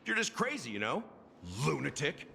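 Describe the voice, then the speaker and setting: A man voicing a cynical, weary, rough-edged security officer in a video game, with a sardonic, theatrical delivery. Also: fairly high pitch, big pitch swings, moderate pace, pushed and bright, a little hiss or hum, exaggerated voice. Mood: bitter, indignant.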